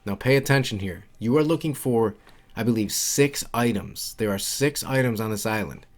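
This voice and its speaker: A man's voice: medium pitch, animated, normal speed. A man giving casual, instructional walkthrough commentary for a video game, informal and repeating his key points. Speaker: game guide creator and narrator.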